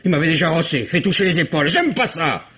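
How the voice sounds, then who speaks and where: A man's voice: on the high side, animated, fast, bright, forceful tone, husky, full character voice. An actor playing a sly, resentful fisherman and petty smuggler in a 1950s radio drama, talking bluntly.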